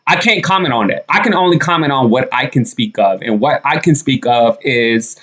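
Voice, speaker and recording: A man's voice: mid-range voice, moderate pace, a bit bright. A young man from the United States giving blunt, emphatic reaction commentary on his vlog, repeating points and swearing freely. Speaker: commentary vlogger.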